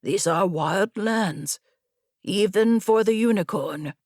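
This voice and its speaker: A woman playing a weary old male samurai scout, cautious and bitter, with measured, dour speech in a storybook reading. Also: medium pitch, darkish tone, no echo, studio quality. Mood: stern, cautioning. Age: older.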